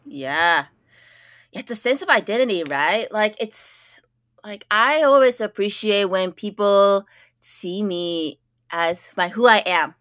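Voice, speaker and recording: A woman's voice: mid-range voice, animated, slow, fairly bright tone, no echo, clean studio sound. A young woman from Japan, a school counselor, talking earnestly on a podcast microphone with many fillers and restarts. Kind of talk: casual interview conversation.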